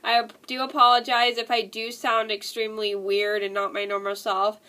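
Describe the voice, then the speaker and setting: A woman's voice: fairly high pitch, little pitch movement, moderate pace, bright, forceful tone. A young woman vlogging with a stuffy nose from a cold, rambling and repeating herself with lots of "like" and "and stuff". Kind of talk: casual talk to camera.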